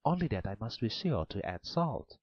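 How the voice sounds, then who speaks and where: A man's voice: sing-song, moderate pace, slightly rough, no room sound. Man putting on a timid clever young girl, raised pleading voice, storybook reading, dull dry recording. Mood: innocent. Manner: higher, pleading, innocent.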